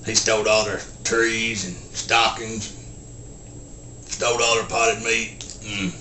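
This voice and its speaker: Halting rural Southern simpleton storyteller, forceful edge, monotone, grunting hums, film-parody style, faint background noise. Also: male voice, lowish voice, reverberant. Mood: deadpan, plodding. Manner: halting, deadpan, grunts "hmm" between short sentences. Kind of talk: folksy storytelling, film character impression.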